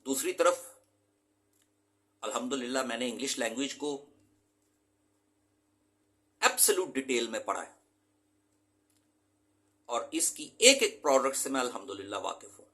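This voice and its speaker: A man's voice: mid-range voice, expressive, moderate pace, long pauses, sharp tone. A man from Pakistan, an English teacher, giving an earnest, emphatic lecture to his students and mixing English terms into his talk.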